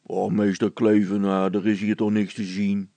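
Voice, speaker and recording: A man's voice: lowish voice, level tone, moderate pace, vocal fry, dark tone, no echo. A man voicing a timid village policeman in a story, hesitant and stammering as he pretends to be brave. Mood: reluctant.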